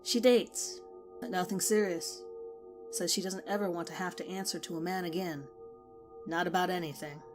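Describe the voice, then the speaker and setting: A woman's voice: on the low side, fairly even intonation, normal speed, natural voice. Woman playing a guarded teenage girl, music behind. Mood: matter-of-fact. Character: guarded, blunt, sharp-tongued teenage girl with a secret. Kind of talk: acted dialogue in horror audiobook.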